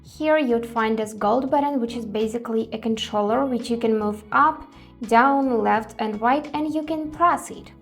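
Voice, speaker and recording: Higher-pitched woman presenting a tutorial. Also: moderate pace, faint music behind. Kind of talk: step-by-step product tutorial.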